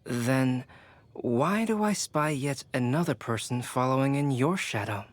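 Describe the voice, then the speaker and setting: A voice actor playing a calm, analytical scholar in a video game, speaking in a measured, reasoning way. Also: medium pitch, no echo, lightly acted.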